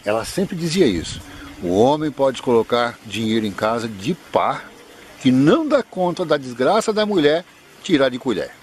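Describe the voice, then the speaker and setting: A man's voice: medium pitch, sing-song, moderate pace, a little mellow, slight background noise. A man in his sixties interviewing a friend outdoors in a jokey, chatty way. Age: 60s. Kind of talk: casual chat.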